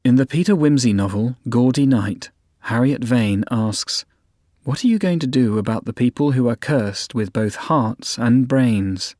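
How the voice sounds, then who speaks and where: A man's voice: mid-range voice, a little slow, dark tone, dry recording. Man, radio announcer, scripted narration, dry studio sound.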